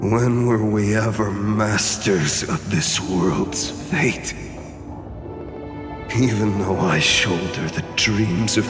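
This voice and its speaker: Very slow, weary fallen warrior. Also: male voice, on the low side. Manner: slow, solemn, bleak delivery. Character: weary, grim, fallen male warrior burdened by endless duty. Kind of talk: dramatic video game acting. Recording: long cavernous reverb over music.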